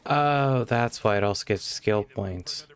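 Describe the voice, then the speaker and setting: A man's voice: on the low side, slow, smooth. A man streaming a game live, giving casual commentary as if muttering to himself. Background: faint game music.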